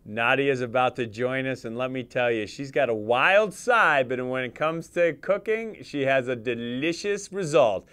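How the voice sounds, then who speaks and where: Animated middle-aged male TV host. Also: mid-range voice, unhurried, few pauses, clean tone. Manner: enthusiastic, showy. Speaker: interview show host. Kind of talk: TV interview hosting. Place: TV studio.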